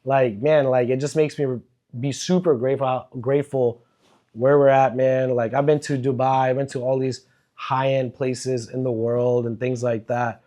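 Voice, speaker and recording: A man's voice: mid-range voice, little pitch movement, moderate pace, warm tone. American male podcast guest, conversational, informal, bro-and-dude slang. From born in Karachi, Pakistan, grew up in South Florida. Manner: slangy, says man, bro and dude often. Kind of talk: casual conversation.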